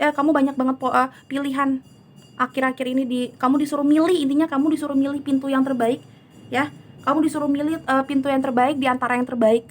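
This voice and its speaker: A hoarse woman giving a chatty tarot reading, soothing and unhurried, often slipping into English words. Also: sits high. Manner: soothing, chatty, many fillers. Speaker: tarot reader.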